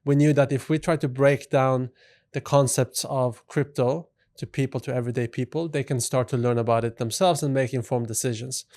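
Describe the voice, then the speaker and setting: A soft-spoken man from Sweden speaks as a guest on a podcast, telling his story at an easy pace. His voice sits in a medium range, and he often drops "you know" between his thoughts. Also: medium pitch, fairly even intonation, fairly quick. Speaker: guest, company CEO. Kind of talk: relaxed storytelling in an interview.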